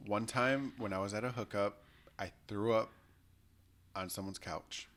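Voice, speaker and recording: A man's voice: fairly low pitch, fairly even intonation, measured pace, background noise. A young man co-hosting a podcast, speaking frankly and self-deprecatingly with hesitant fillers. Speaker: podcast co-host. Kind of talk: casual chat.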